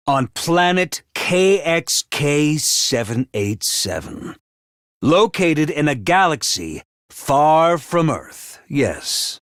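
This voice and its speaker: A man voicing an excitable, theatrical mascot commander of a military school in a video game, speaking emphatically. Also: on the high side, no room sound, studio quality, heavily acted. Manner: theatrical, emphatic, swinging from grave to bombastic. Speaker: commander addressing students. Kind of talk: animated video game voice acting.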